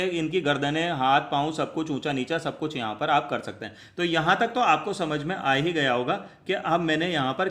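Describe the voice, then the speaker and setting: A man with a forceful, bright voice pitched a little high. He presents a step-by-step tutorial, chatty and repetitive as he explains each action. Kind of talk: step-by-step spoken app tutorial.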